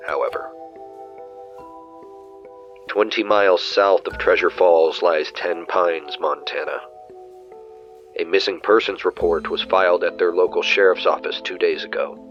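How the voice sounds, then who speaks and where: A man acts out a government inspection agent from an audio drama, calm and officious. His voice is low and his delivery formal and deliberate, like dictation for an official record. The recording is dull and lo-fi, with faint music.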